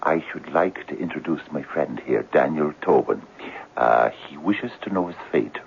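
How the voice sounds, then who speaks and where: A man's voice: a bass voice, lively intonation, normal speed, vocal fry, exaggerated voice. An actor playing a genial, superstitious male friend in a radio drama, speaking in florid, teasing, grandiloquent phrases. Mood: jovial, wry. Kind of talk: 1970s radio drama acting. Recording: dull, slightly noisy 1970s radio recording.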